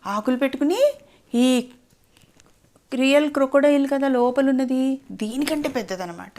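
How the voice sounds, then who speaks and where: A woman's voice: high voice, normal speed, darkish tone. A woman telling a story to a child in a simple, explanatory way, often asking questions.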